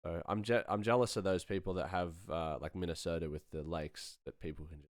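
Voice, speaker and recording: A man's voice: sits low, steady tone, brisk, dry recording, studio quality. A man hosting a live stream, chatting informally and thinking aloud as he works on sound design. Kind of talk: casual tutorial chat while working.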